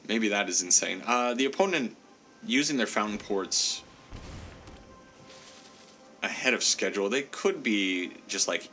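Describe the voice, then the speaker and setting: A man with a cold and a congested, stuffy voice comments casually on his card game as he plays. His voice sits low but sounds bright and forward as he thinks aloud and pokes fun at his own mistakes. Faint music plays quietly underneath. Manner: chatty, self-deprecating, thinks aloud with frequent laughs. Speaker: gameplay commentator.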